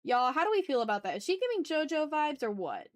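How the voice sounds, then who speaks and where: A woman chatting casually as she hosts an art livestream, talking to her viewers in an animated, slangy, teasing way with some swearing. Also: sits high, quick pace. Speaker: livestream host and artist. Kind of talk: casual art livestream chat, reading and answering chat messages.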